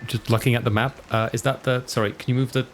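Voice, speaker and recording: A man's voice: mid-range voice, little pitch movement, fast, a little hiss or hum. A man playing a tabletop game, asking eager questions about the action. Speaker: player. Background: background music.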